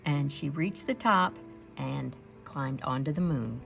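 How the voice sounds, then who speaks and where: A woman reading a picture book aloud to children in an expressive storytelling style. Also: extremely low pitch, slow pace, gravelly, soft background music. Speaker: storybook read-aloud reader.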